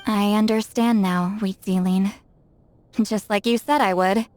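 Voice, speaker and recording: A woman's voice: medium pitch, steady tone, measured pace, a little mellow, faint music behind, natural voice. A woman playing a cool, reserved but loyal young female artist in a video game, with an understated, matter-of-fact manner. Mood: reluctant, resigned.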